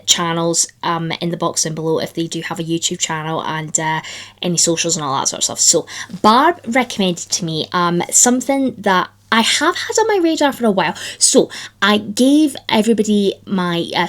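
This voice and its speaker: Chatty woman, slightly croaky. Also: fairly low pitch. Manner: chatty, enthusiastic, lots of fillers. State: slightly croaky after flu. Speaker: book vlogger. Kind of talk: casual chat to camera.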